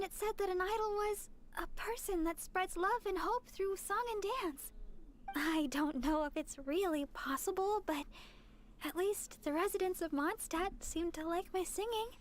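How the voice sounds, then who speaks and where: A woman playing a sweet, humble young deaconess and songstress in a fantasy game, speaking gently, earnestly and apologetically. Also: extremely high pitch, normal speed, slight background noise. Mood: humble, hopeful. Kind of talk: animated game voice acting.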